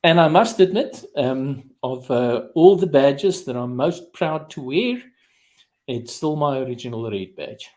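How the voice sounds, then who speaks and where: A man hosting a podcast over a video call, talking slowly with many fillers and restarts. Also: medium pitch, lively intonation, a little mellow. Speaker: podcast host. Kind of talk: casual interview chat.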